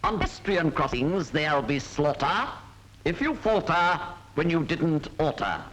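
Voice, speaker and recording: A man's voice: on the high side, animated, measured pace, a bit bright. A man playing a comic 1940s film instructor, reciting a road safety rhyme in a singsong, mock-stern way. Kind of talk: 1940s public information film verse narration. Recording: noisy 1940s British film soundtrack.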